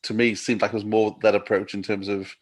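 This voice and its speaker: Low-voiced man interviewing casually. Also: little pitch movement, brisk. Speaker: podcast interviewer.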